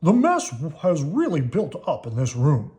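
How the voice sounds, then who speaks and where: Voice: mid-range voice, huge pitch swings, unhurried, dark tone. A storybook reader voicing a stern but fair father bear, lecturing in a firm, emphatic way.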